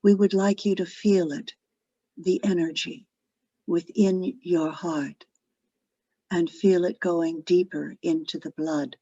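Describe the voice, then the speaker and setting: A woman leading a guided meditation in a gentle, soothing, unhurried way, phrase by phrase with long pauses. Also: sits low, husky. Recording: dull lo-fi audio, roomy echo.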